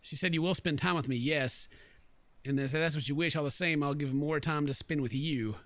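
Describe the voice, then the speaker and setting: A man's voice: fairly high pitch, a little fast, fairly bright tone, dry recording. A man doing casual let's play commentary, jokey and rambling, reading game text aloud as he goes. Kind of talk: casual gameplay commentary with read-aloud narration.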